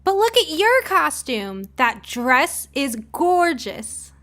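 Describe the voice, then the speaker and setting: A woman's voice: high-pitched, sing-song, takes it slowly, a bit bright, voice pushed up, heavily acted. A woman voicing a teasing, confident teenage girl in an audio short story. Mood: admiring.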